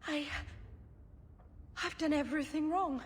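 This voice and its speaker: A woman playing a gentle, naive young female elf in a fantasy video game, with an earnest, halting delivery. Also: high voice, a little hiss or hum. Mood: anguished, self-blaming.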